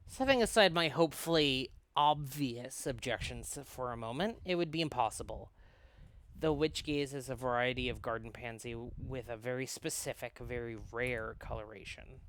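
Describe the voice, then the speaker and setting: A man reading a visual novel aloud as a learned, cautious scholar, formal and measured as he explains and narrates. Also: mid-range voice, fairly bright tone, close to an everyday voice, poor audio. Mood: lecturing.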